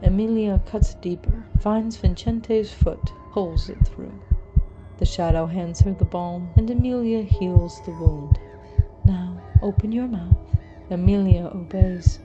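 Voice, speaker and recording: A woman reading a scripted horror story in a measured, dramatic storytelling manner. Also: medium pitch, muffled recording, music in the background. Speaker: story narrator.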